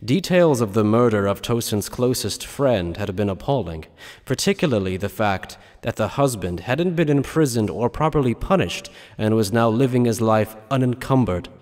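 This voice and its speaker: A man's voice: medium pitch, animated, normal speed, a little mellow, long reverb tail. A man reading scripted dramatic narration for an anime fan dub.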